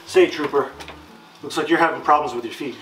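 Man playing a higher-pitched American army captain instructor. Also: fairly quick, fairly bright tone, a little hiss or hum, natural voice. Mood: instructive, assured. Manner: formal, didactic, stilted. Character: upbeat, authoritative male army captain instructing troopers. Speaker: instructor in a military training film. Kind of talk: scripted retro instructional film delivery. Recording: music under the voice. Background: background guitar music.

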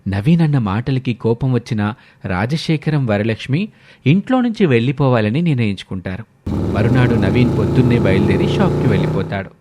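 A man's voice: mid-range voice, lively intonation, fairly quick, dark tone, slight background noise. A man narrating a scripted family story as a storyteller.

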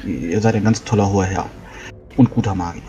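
A man's voice: sits low, steady tone, a little fast, dark tone, highs cut off. A man role-playing casually in a tabletop game, chatty, repeating words as he switches between character speech and brief narration.

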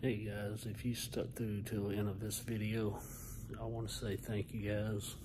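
A man's voice: on the low side, little pitch movement, moderate pace, background noise. A man talking casually and folksily to viewers as a video host, recorded on a handheld phone. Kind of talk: casual vlog talk to viewers. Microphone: phone at arm's length.

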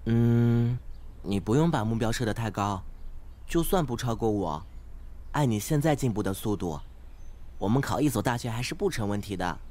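A young man acting an earnest, polite, slightly naive studious teenage boy in an audio drama, gentle and encouraging. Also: medium pitch, lively intonation, normal speed, lightly acted. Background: background music.

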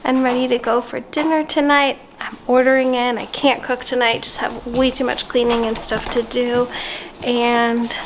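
A woman's voice: a little high, little pitch movement, moderate pace, noisy background. A woman in her thirties chatting casually and informally in a home vlog, with a self-deprecating, conversational manner. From South African family background. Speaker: vlogger. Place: inside a house, open dining room and kitchen.

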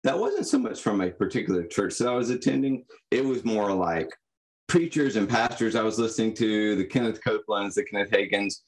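A young man giving reflective, personal answers as a podcast guest, in a halting, thoughtful manner. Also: fairly low pitch, expressive, poor audio. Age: young adult. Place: indoor room, on a video call. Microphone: condenser microphone.

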